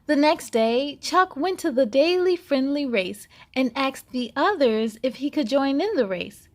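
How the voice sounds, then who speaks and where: High-pitched, slow-paced woman reading to children. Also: lively intonation. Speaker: children's story-time host. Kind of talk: animated children's read-aloud narration.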